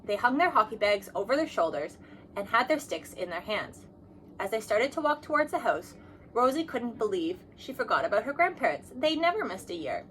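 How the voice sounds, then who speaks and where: A woman's voice: a little high, moderate pace. Woman reading a children's story, noisy recording. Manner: expressive, acts out dialogue. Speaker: storybook reader. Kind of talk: scripted children's read-aloud narration.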